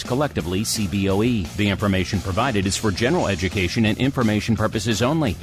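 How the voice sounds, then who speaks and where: A man reading a scripted show intro, sponsor spot and legal disclaimer in a polished, measured announcer delivery. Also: fairly low pitch. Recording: announcer voice over music. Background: music.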